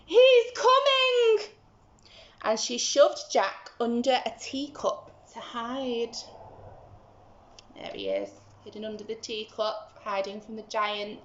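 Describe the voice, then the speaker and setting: A woman's voice: on the high side, fairly even intonation, slow pace, echoey room, highs cut off, slight background noise. A woman teacher reading a story to young children, expressively and with dramatic emphasis.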